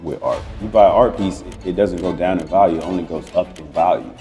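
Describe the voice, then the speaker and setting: Brief: young male guest from georgia, deep mellow voice, easygoing slangy chat. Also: quick pace, faint music behind. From Georgia, United States. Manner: laid-back, slangy, swears freely. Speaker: guest painter. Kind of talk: casual interview chat.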